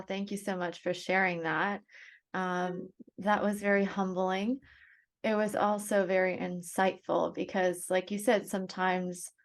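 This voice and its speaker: A young adult woman, born in Russia and raised in Canada, telling her life story as a podcast guest in a soft, thoughtful way with pauses. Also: mid-range voice. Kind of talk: reflective personal storytelling.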